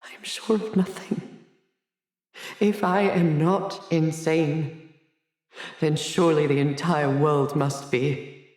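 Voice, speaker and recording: A man's voice: on the high side, fairly even intonation, takes it slowly, long pauses, darkish tone, echoey room. A man playing a haughty, haunted asylum doctor in a video game, theatrical and erudite, fretful and hesitant, obsessed with his art. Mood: haunted, brooding. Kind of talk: dramatic video game dialogue.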